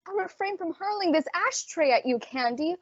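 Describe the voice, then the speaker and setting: A woman's voice: very high voice, lively intonation, normal speed, no echo. A woman playing an earnest, frazzled department store advertising executive in an old-time radio drama. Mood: exasperated, pleading. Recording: dry, dull lo-fi audio.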